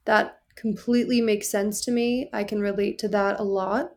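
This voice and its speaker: A woman in her thirties speaks at an ordinary middle pitch. She is blunt and direct, yet supportive as she answers a friend's worry. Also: fairly even intonation, normal speed, darkish tone. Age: 30s. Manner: direct and frank, reassuring. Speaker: podcast guest. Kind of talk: casual conversation.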